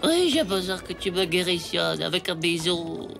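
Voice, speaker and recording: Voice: mid-range voice, sing-song, moderate pace, gravelly. Whiny, dramatic ten-year-old schoolboy, music behind. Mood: sulky. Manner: whiny, exaggerated, mangles big words. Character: cheeky ten-year-old boy, dramatic, jealous schemer. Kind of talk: French animated comedy acting.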